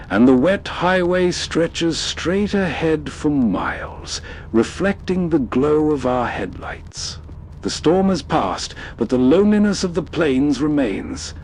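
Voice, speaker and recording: A man hosting with scripted, atmospheric narration in an unhurried, evocative manner. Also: fairly high pitch, darkish tone, a little hiss or hum. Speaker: host.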